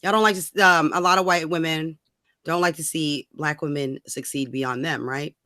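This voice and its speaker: A woman's voice: sits low, fairly even intonation, moderate pace, sharp tone, no echo, clean studio sound. A woman co-hosting a casual talk show, speaking bluntly and colloquially with opinions. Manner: blunt, colloquial, swears freely.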